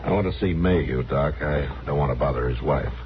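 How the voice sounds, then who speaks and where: A middle-aged actor playing a stern, terse frontier marshal with slow authority in a radio western. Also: male voice, rumbling low. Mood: grave, subdued. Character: stern, grave frontier US marshal, a grown man. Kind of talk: 1950s radio drama acting. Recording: dull vintage radio recording, faint noise.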